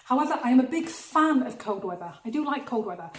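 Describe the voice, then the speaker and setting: A woman speaks in a slightly high voice. She chats through practical health advice in an emphatic, conversational way and repeats words to stress them. The room gives her voice a noticeable echo. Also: expressive, fast talker, a little hiss or hum. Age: 50s. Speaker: nutritional therapist. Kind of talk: casual advice vlog to camera. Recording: echoey room.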